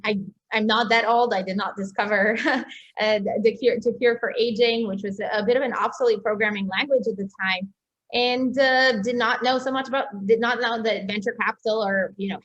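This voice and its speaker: A woman's voice: medium pitch, a bit bright. Young woman, slightly muffled recording. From Serbia. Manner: chatty, halting, many hedges and self-corrections. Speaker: interview guest, doctor and entrepreneur. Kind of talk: casual autobiographical talk.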